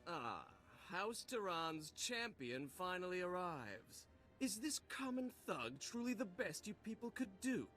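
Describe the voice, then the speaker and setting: An actor voicing a pompous, theatrical, contemptuous noble duelist in a video game. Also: male voice, sits high, sing-song, unhurried, a bit bright, soft background music, exaggerated voice. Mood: sneering contempt. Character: haughty, indignant aristocratic male duelist, sneering snob.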